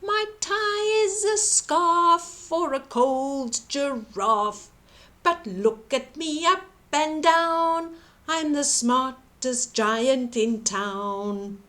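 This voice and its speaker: A woman's voice: sits high, lively intonation, extremely slow, fairly bright tone, voice pushed up, heavily acted. A woman voicing a kindly, proud, cheerful giant man from a children's storybook. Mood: proud singing.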